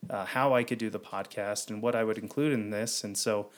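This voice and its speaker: A man's voice: fairly low pitch, fairly even intonation, brisk. A man hosting a podcast, rambling with many fillers as he introduces his first guest. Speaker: podcast host. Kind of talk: casual interview conversation.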